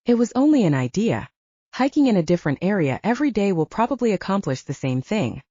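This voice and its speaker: A woman's voice: medium pitch, big pitch swings, fast, no echo. Woman reading as a kindly, earnest old grandfather. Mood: gentle. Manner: earnest, gentle, coaxing. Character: kindly, stubborn elderly grandfather, a Bigfoot enthusiast. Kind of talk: audiobook character dialogue. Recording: dull, slightly lo-fi, dry recording.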